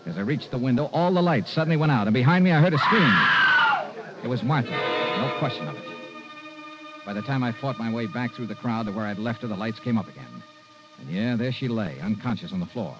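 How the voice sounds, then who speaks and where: A man's voice: medium pitch, expressive, brisk, loud music behind the voice, close to an everyday voice. An actor playing a wry, hard-boiled insurance investigator narrating his case in an old radio noir drama. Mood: tense. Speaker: lead detective and narrator. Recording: dull vintage radio recording.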